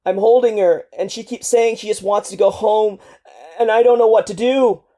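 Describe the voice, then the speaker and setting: A woman's voice: mid-range voice, fairly even intonation, moderate pace, warm tone, pitched above the performer's own voice, lightly acted. A woman voicing a grief-stricken young male marine who is crying, his words strained and tumbling out. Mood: anguished.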